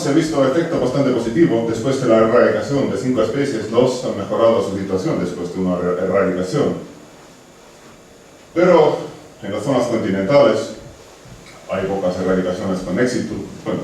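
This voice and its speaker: Ponente estonio con acento marcado, titubeante y cercano; sala resonante, micrófono lejano con leve ruido. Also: male voice, lowish voice, a little mellow. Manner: hesitant, restarts words, friendly asides. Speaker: conservation researcher giving a talk. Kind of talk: conference presentation. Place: lecture hall. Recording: very echoey hall from far off, faint noise.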